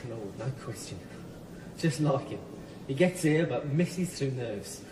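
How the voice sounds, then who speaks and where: An actor playing a frightened, nervy small-time criminal in a radio drama, agitated in delivery. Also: male voice, lively intonation, a little slow, close to an everyday voice. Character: jittery, frightened small-time male crook, pleading and boastful. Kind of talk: tense radio drama acting. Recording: distant, echoing hall with clear rumbling noise.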